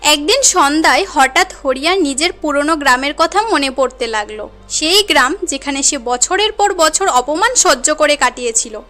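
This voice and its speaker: A woman's voice: high-pitched, expressive, moderate pace, bright, forceful tone, faint music behind. A woman narrating a moral cartoon tale in an earnest, dramatic storytelling manner.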